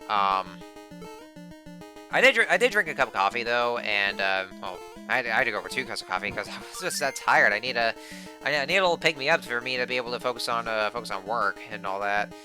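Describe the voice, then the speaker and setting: A hoarse young man giving casual, rambling commentary while playing a video game, full of fillers and restarts. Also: medium pitch, expressive, a little fast. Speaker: gameplay commentator. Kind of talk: casual let's play commentary. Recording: game music playing behind the voice.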